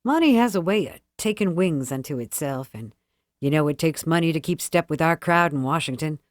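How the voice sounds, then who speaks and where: Woman playing a calm, genial young gentleman, low-pitched. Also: fairly quick, no echo, studio quality. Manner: smooth, light, gentlemanly. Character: calm, genial, well-bred younger man.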